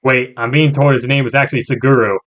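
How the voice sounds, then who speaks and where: A man's voice: mid-range voice, flat delivery, fast talker, a bit bright. A man narrating a scripted video game review as host, with jokey asides. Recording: music under the voice. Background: background music.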